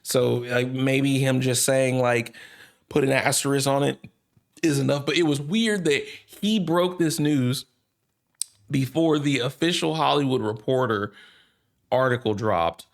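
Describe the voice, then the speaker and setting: A man's voice: medium pitch, a little slow, vocal fry. Casual, rambling male gaming news commentator. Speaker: gaming news host reacting to a clip.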